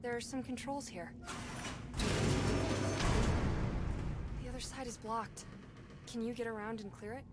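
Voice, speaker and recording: An actress playing an earnest, resourceful young female survivor in a video game, speaking in a soft-spoken way. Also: fairly high pitch, steady tone, a little fast, loud music behind the voice, natural voice. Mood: cautious, curious. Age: young adult.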